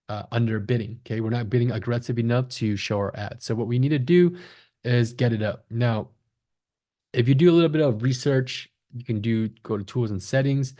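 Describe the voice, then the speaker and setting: A man's voice: mid-range voice, warm tone. Man walking through an online tutorial. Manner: conversational, frequent check-in tags like "right?" and "okay?". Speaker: online marketing instructor, agency founder. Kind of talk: screen-share tutorial, explaining step by step.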